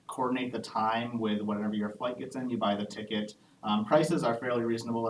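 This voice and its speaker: A man working as an admissions officer who hosts a live Q&A panel and explains things in a friendly way, with frequent "um" pauses. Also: on the low side. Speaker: assistant director of international undergraduate admissions. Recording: echoey room, distant mic, faint background noise.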